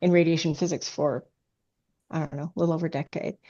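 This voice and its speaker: Low-voiced woman, slightly dull audio. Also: steady tone, fast, mellow. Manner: enthusiastic explainer. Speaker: guest, science fiction author. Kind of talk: casual interview chat.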